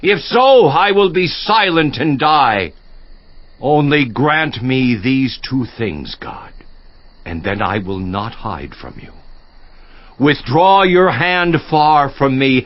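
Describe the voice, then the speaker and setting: Anguished righteous man in a bible audio drama, highish voice, impassioned and theatrical, kinda muffled lo-fi recording. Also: big pitch swings, slow, no echo. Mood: pleading, resolute. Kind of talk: dramatized scripture reading, theatrical monologue.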